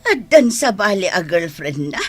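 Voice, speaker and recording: An actress playing a fond, worried mother of grown children in a radio drama, in a husky, breathy voice. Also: medium pitch, expressive, normal speed, faint music behind. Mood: concerned.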